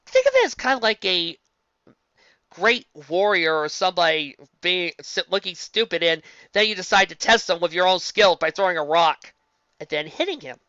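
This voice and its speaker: A woman casually commenting on a fan story she reads aloud. She rambles, restarts and corrects herself, and jumps up in pitch for emphasis. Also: normal speed, dry recording, muffled recording. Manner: animated, jumping up in pitch for emphasis. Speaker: fan fiction reader and commentator.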